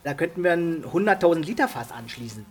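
A man's voice: on the high side, lively intonation, quick pace, a little hiss or hum, natural voice. An actor playing an inventive, brooding village man in a radio play, folksy and explanatory, with a Berlin-Brandenburg German accent. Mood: earnest, insistent. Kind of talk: naturalistic radio play dialogue.

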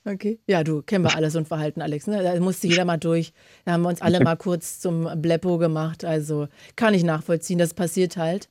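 Fast-talking woman radio host. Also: on the low side, fairly even intonation. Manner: chatty, warm-hearted advice, fast talking. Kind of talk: casual radio phone-in chat.

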